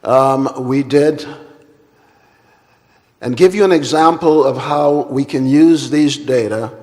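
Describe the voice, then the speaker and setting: A man from Guyana, giving a formal and deliberate plenary address at a conference. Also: mid-range voice, darkish tone. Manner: measured, deliberate and formal, with long pauses. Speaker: conference speaker. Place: large conference hall. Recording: hall reverb.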